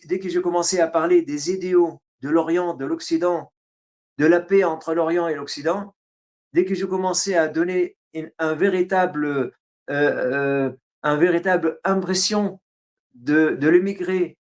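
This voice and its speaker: Arabic-accented man recounting his past. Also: a little high. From Syria. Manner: halting, frequent fillers and restarts. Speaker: interview guest, writer. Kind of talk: autobiographical interview.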